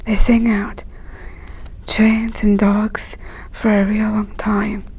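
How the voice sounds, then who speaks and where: A woman reading a children's story aloud in a hushed, breathy, whispery storytelling voice. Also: mid-range voice, little pitch movement, slow pace.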